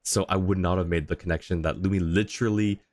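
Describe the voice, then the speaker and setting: A man livestreaming a game with casual, thoughtful commentary as he chats with viewers, often pausing to find his words. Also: low voice, fairly even intonation, darkish tone, dry recording, clean studio sound. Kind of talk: casual live commentary, answering chat.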